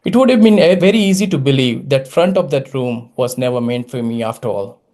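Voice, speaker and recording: A man in his late thirties from India, a native Gujarati speaker with a Gujarati-accented English, telling his story as a prepared public speech with dramatic pauses and wry, self-deprecating humor. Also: mid-range voice, darkish tone. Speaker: Toastmasters speaker.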